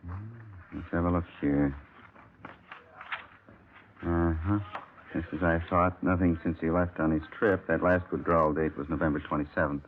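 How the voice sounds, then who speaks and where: A man's voice: deep voice, steady tone, moderate pace, pauses often, close to an everyday voice. A man playing a genial bank manager. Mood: helpful. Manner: genial and businesslike. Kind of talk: 1950s radio drama acting. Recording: tinny 1950s radio broadcast with faint hiss.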